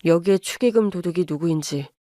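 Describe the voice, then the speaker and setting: A woman voicing a mild young husband who speaks low, measured and firm, holding back anger. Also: warm tone, no room sound, clean studio sound, close to an everyday voice. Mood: calm resolute.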